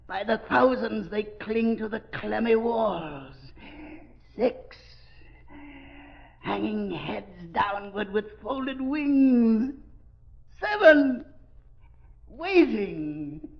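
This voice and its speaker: A deranged villain doctor from a 1930s radio thriller, raving at a very high pitch. The delivery is menacing, hysterical and drawn out, with a creaking edge. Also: male voice, big pitch swings, very slow, pauses often, full character voice. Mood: eerie dread. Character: crazed villainous doctor, a murderer losing his mind. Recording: dull vintage 1930s radio recording.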